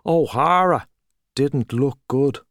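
A man voicing a boastful, hearty Irish journalist, talking loudly and expansively over drinks. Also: mid-range voice, takes it slowly, close to an everyday voice. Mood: boisterous. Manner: loud, expansive, back-slapping.

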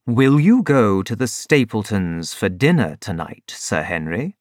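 Reader doing a measured detective, slow, in control, dry close sound. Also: male voice, fairly low pitch, no echo. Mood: calm. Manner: calm, measured, authoritative. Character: calm, commanding male detective.

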